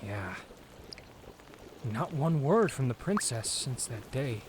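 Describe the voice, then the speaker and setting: Casting: male actor for easygoing young hero, mid-pitched and leisurely, wry and tired, fantasy audio play. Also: big pitch swings, slow. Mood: relaxed, weary. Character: weary, good-natured young hero in training. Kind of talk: fantasy audio drama acting. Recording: running water noise behind the voice. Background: flowing hot spring water.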